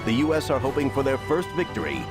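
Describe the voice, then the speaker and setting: A man narrating a World War II game briefing in a formal, measured, emphatic manner. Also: medium pitch, sing-song. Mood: grave, formal. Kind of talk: scripted wartime campaign narration. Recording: loud music over the voice.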